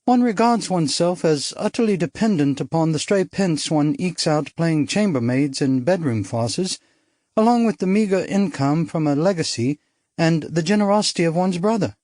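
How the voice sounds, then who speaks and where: A man's voice: fairly high pitch, lively intonation, moderate pace, a little mellow, no room sound, clean studio sound, pitched above the performer's own voice, natural voice. A man voicing an arch, daring young actress. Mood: arch, playful. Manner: arch, playful, theatrical. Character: witty, daring young upper-class Englishwoman, an actress.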